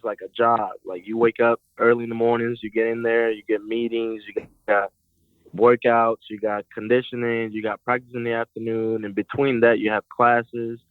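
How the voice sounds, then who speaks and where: A man's voice: mid-range voice, clean tone, no echo. A young man from Puerto Rico, a college football player, chatting casually as a guest on a phone interview, with plenty of "you know" and "like". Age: young adult. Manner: relaxed, casual, uses fillers like "you know". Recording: tinny phone line.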